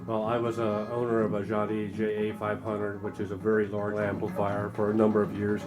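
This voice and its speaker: Effusive low-pitched male interviewer, music behind. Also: monotone, a little fast. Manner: effusive, appreciative. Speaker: interviewer, audio show reporter. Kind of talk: casual enthusiastic interview. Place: indoor trade show demo room.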